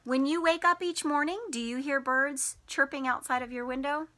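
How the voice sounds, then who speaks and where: A woman teacher reading a picture book to young children in an expressive, sing-song way, putting questions to them. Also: high voice, normal speed. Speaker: teacher and storytime host.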